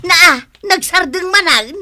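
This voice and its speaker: A fussy, impatient older village woman in an Ilocano radio drama. Her voice is high-pitched, with clear vehicle noise behind it. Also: expressive, slow pace, full character voice.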